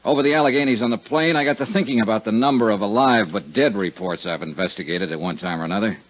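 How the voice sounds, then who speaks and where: An actor playing a wry, probing insurance investigator in a 1950s radio detective drama, with dry, skeptical, clipped delivery. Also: male voice, on the low side, fast, lightly acted. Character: wry, probing freelance male insurance investigator narrating his case. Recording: dull 1950s radio recording, music behind.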